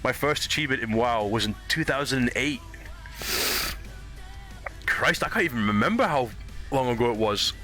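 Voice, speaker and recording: A man's voice: mid-range voice, lively intonation, moderate pace, pauses often, pushed and bright, faint music behind. A Scottish man streaming a game, chatting casually, swearing and laughing easily. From Scotland. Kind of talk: casual gaming stream chat.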